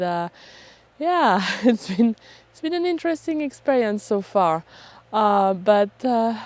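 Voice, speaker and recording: A woman, hoarse from a cold, talking casually and chattily to camera as a self-deprecating vlog host. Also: mid-range voice, darkish tone. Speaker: photography vlogger and host.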